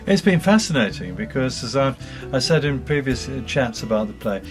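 A man's voice: medium pitch, sing-song, normal speed, faint music behind. A man, an author, talking thoughtfully and a little hesitantly in an interview. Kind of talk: reflective interview talk.

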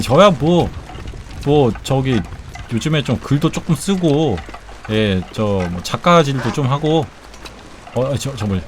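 Lively, rambling man in his forties. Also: mid-range voice, a little hiss or hum. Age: 40s. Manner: rambling, joking banter with viewers, blunt swearing. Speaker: game streamer. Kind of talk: casual live-stream chat while gaming.

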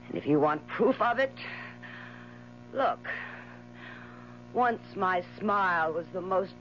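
An actress in a 1970s radio drama playing a bitter, mocking dying wife with scornful, drawn-out theatrical phrasing. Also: female voice, lowish voice. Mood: morbid, taunting. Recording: muffled 1970s radio recording with hum.

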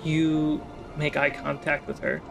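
A man running a tabletop game as game master, narrating scenes in an unhurried way. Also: a little high, very animated, vocal fry, music in the background.

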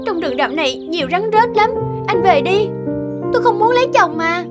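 A gentle, secretive young village wife from a cartoon. Her voice is high and coy. Loud music runs under the dialogue. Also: expressive, normal speed. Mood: reluctant, torn. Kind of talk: animated folktale dubbing.

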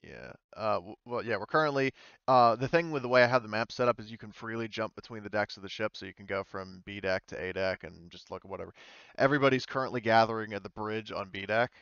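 A man runs an online roleplaying game as game master. His low, smooth voice is casual and halting as he narrates. The recording is a little dull and lo-fi. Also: a bit bright, no room sound.

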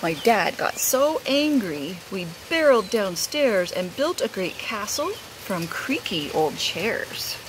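A middle-aged woman, a teacher, reads a picture book aloud expressively, outdoors under a roof. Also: mid-range voice. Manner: unhurried, animated. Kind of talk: expressive read-aloud storytelling. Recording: rain noise behind the voice.

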